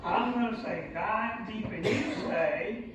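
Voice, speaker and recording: A man's voice: sits high, slow, a loud crowd. A man hosting a children's church show as a submarine captain, speaking directly to the children in an instructive, prompting way. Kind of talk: interactive children's teaching with call and response. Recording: echoey hall from far off, muffled, noisy.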